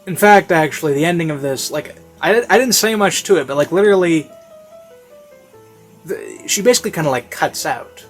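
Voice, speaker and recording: A man's voice: on the high side, lively intonation, moderate pace. Rambling man reviewing casually over music. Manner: rambling, hesitant, self-interrupting. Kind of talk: casual unscripted review commentary. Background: classical instrumental music.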